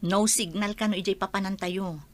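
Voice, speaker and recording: A woman playing a brisk, chummy young police trainee in a radio drama. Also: medium pitch, steady tone, lightly acted. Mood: grumbling, resigned.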